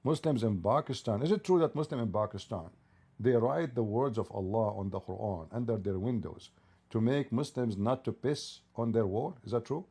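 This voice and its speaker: A man's voice: lowish voice, moderate pace, dark tone, breathy edge. A middle-aged man, hosting a debate livestream, mocking and needling his guest with repeated pointed questions. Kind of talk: mocking, provocative debate talk.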